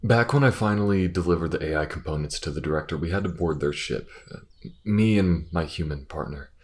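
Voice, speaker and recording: A man playing a gruff alien soldier who gets easily flustered and halting, in a scripted audio roleplay. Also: low-pitched, natural voice. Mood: grim, wary. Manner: halting, stammering when flustered.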